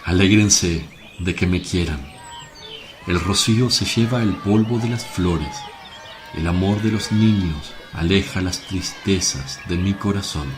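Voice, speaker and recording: A lowish, very unhurried male voice acted by a man of a gentle holy teacher in a religious radio drama. He preaches solemnly, with measured, declamatory phrasing, and music plays behind him. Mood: tender, reassuring.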